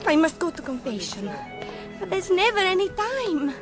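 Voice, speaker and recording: A woman's voice: very high-pitched, very animated, normal speed, over music, heavily acted. An actress playing an earnest, naive young Spanish woman in a radio drama, devout and a little breathless. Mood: anxious, flustered. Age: young adult.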